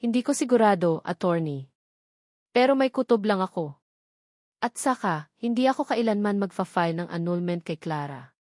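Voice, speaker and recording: A woman's voice: mid-range voice, expressive, moderate pace, a little mellow, no room sound, clean studio sound, natural voice. A woman narrator voicing an earnest, troubled husband searching for his wife in an audio storybook. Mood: earnest, doubtful.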